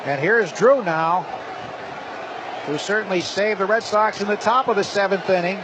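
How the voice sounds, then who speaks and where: A man's voice: high-pitched, moderate pace. A man doing live play-by-play baseball commentary on the radio in clipped, descriptive phrases. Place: ballpark broadcast booth. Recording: noisy live stadium broadcast. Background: clear stadium ambience.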